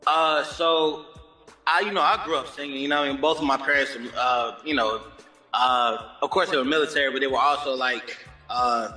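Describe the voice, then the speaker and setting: A man's voice: medium pitch, steady tone, normal speed, pushed and bright. An American man chatting casually as an interview guest, upbeat and slangy, often adding "you know what I'm saying.". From born in Germany, moved around the United States. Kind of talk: casual chat. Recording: echoey roomy sound with long reverb, music behind.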